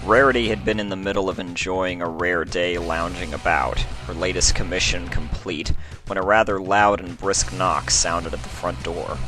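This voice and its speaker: A man's voice: low voice, fairly even intonation, moderate pace, over music. A man reading a story aloud as its narrator, in scripted storytelling delivery. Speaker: fan fiction reader, narrator.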